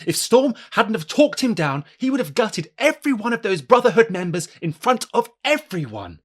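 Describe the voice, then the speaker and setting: An actor playing an indignant, hot-headed young superhero in an audio drama, husky and emphatic, with an accusing manner. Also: male voice, high-pitched, very animated, normal speed, full character voice. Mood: alarmed, insistent.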